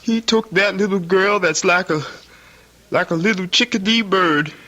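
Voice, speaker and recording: An actor playing a simple-minded, childlike country son with a Southern American accent and halting, repetitive speech in a radio drama. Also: male voice, sits high, pushed and bright. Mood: distressed.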